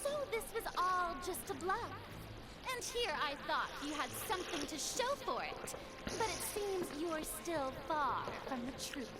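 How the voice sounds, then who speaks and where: A woman's voice: very high voice, very animated, unhurried, over loud music, exaggerated voice. A woman playing a haughty, teasing young lady in a video game, with a theatrical, smug delivery. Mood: smug, mocking.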